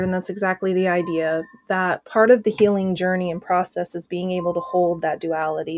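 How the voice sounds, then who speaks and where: A woman's voice: medium pitch, dry recording. Earnest woman speaking reflectively, dry sound. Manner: earnest, reflective, many fillers. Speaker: trauma healing coach. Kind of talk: podcast interview answers.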